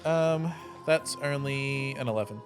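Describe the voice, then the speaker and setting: Mid-pitched man chatting as a game player. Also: a little slow, music in the background. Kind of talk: casual improvised game chat.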